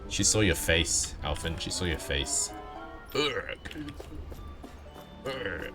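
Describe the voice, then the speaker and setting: A male game streamer with a fairly low, very animated voice. He gives casual, joking reactions off the cuff while game music plays clearly behind him. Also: slow pace. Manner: off-the-cuff, jokey reactions in short bursts. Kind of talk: casual live gameplay commentary.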